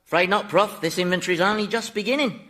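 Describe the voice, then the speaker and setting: An actor playing a sardonic, mocking Devil who chats like a gleeful tour guide in a radio sitcom. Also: male voice, high-pitched, fast talker, sharp tone. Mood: smug mockery. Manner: mocking, chatty, mock-polite.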